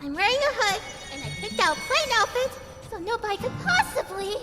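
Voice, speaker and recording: A woman's voice: very high voice, very animated, a little fast. A woman playing a flustered, cheeky teenage idol girl in an anime fan dub, with a girlish, theatrical delivery. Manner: exclamatory, theatrical. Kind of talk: anime fandub acting. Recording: music behind the voice.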